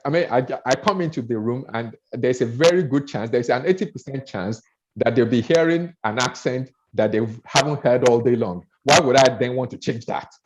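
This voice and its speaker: A man's voice: medium pitch, moderate pace, background noise. A man with a Nigerian accent coaching on public speaking, earnest, often repeating words as he builds his points. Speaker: speaker trainer. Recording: dull lo-fi audio.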